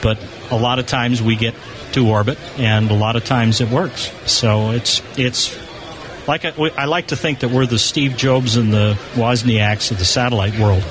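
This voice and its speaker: A man's voice: mid-range voice, steady tone, moderate pace. An American man explaining things informally and enthusiastically as a spokesman in an interview. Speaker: amateur satellite organization spokesman being interviewed. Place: convention hall exhibition booth. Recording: busy crowd noise behind, convention floor. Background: crowd chatter.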